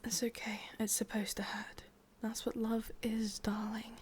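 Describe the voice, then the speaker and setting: A woman with an English accent playing a possessive, lovesick witch in a whispered ASMR roleplay, coaxing softly and intimately, close to the microphone. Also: on the high side, little pitch movement, a little slow, slight background noise, natural voice. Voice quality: breathy, whispery.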